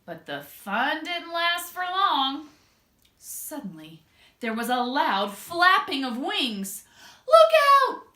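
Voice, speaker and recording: A woman doing an expressive children's read-aloud, drawing out words and speaking straight to young listeners. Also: high voice. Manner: expressive, drawn-out emphasis, addresses young listeners directly. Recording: echoey room.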